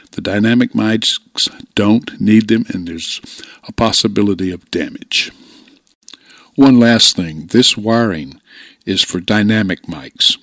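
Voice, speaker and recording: Older man, lowish voice, informal how-to narration, plain-spoken, close dry recording. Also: vocal fry, a bit bright, dry recording. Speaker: radio hobbyist presenter. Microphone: close desktop microphone, voice-over.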